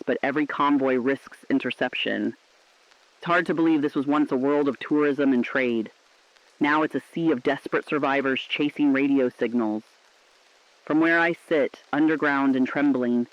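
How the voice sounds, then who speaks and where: A man's voice: medium pitch, no echo, natural voice. A man playing an earnest, defiant radio correspondent in a shelter, giving a solemn, dramatic broadcast on a dull, dry recording.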